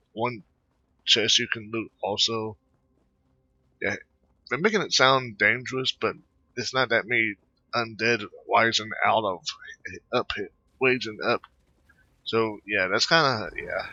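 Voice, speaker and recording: Low, bright-voiced man rambling over gameplay. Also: dull audio. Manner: rambling, hesitant, halting delivery. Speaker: gamer narrating own gameplay. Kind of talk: casual gameplay commentary.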